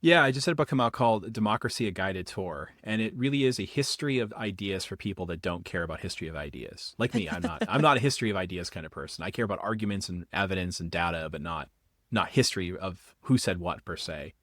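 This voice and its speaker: A man from the Boston area talks fast as a podcast guest, tumbling through explanations and correcting himself. His voice sits a little low. The sound is dry and close. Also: dry recording. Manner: quick, animated explaining with repeated restarts. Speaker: guest, political philosophy professor. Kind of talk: casual podcast interview.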